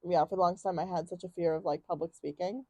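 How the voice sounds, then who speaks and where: Young woman, podcast co-host. Loud, slangy casual talker, low warm voice, dry recording. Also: steady tone, quick pace, no room sound. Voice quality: loud projecting voice. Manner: chatty, laughs often, lots of "like" and slang. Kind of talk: casual chat.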